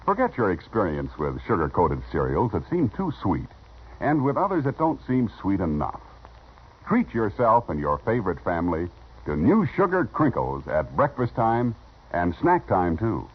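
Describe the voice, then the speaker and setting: Very animated, deep-voiced radio adman. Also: normal speed, slightly rough. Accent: American. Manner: emphatic, folksy salesman. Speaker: commercial announcer. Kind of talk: 1950s radio advertising pitch. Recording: dull old radio recording.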